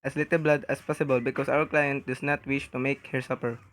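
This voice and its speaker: A man's voice: medium pitch, level tone, brisk, fairly bright tone, a little hiss or hum, close to an everyday voice. A man playing a cold-blooded, matter-of-fact hired killer in a radio play. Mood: cold, businesslike. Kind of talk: radio drama acting.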